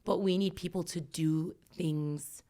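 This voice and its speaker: A woman's voice: fairly low pitch, fairly even intonation, a little slow, mellow. A woman in her thirties, an educator guest on a podcast, talking reflectively and emphatically with many fillers. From United States. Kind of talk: earnest podcast conversation.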